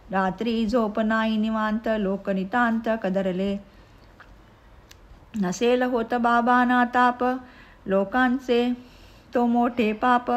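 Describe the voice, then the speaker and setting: Slow, high-pitched woman reciting verse. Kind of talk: measured recitation of devotional narrative verse.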